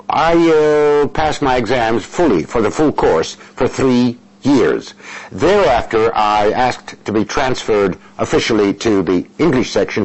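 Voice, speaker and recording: An older man whose first language is Russian, an interpreter answering interview questions in a halting, measured way with many fillers and restarts. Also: on the low side, low-quality recording.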